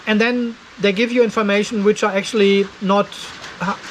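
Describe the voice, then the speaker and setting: Man, fifties, high-pitched voice, casual vlog explainer, step-by-step, frank and critical. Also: little pitch movement, moderate pace, a little hiss or hum, poor audio. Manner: conversational, step-by-step, openly critical. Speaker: host of an off-grid solar channel. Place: cluttered garage workshop.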